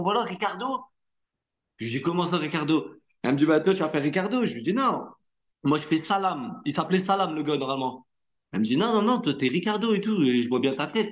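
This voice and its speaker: A man whose first language is Arabic, an actor telling stories in a relaxed interview, chatty, slangy and full of fillers. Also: fairly high pitch. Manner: animated anecdote-telling with street slang and many fillers. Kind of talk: casual interview storytelling.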